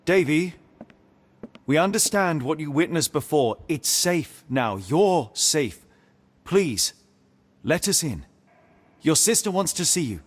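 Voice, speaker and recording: A man playing a composed, sharp-minded detective in a video game, speaking with precise, measured, analytical authority. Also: a little high, expressive, no echo. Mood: gentle, coaxing. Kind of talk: dramatic detective game dialogue and deduction narration.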